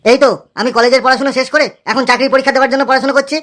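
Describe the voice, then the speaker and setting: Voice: sits high, level tone, rapid-fire, a bit bright. A performer voicing a cheeky, whiny, foul-mouthed village boy in a comedy cartoon, with a West Bengal Bengali accent. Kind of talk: amateur comedy cartoon dubbing.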